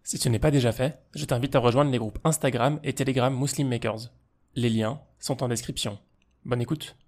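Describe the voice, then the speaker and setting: A man hosting a podcast, reading a scripted intro and asking relaxed questions. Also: medium pitch, moderate pace. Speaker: podcast host.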